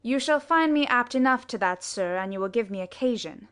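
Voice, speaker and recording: A woman's voice: fairly high pitch, lively intonation, brisk, fairly bright tone, smooth. A woman playing a haughty, aggressive young duelist in a Shakespeare audiobook, with curt, challenging delivery. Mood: curt, menacing. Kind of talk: Shakespeare audiobook dramatic reading.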